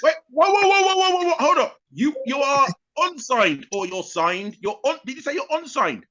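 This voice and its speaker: Man, host, excitable, lively swooping voice, bright tone, dull distant audio. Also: very high-pitched, normal speed. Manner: excitable, animated exclamations. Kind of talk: interview questions.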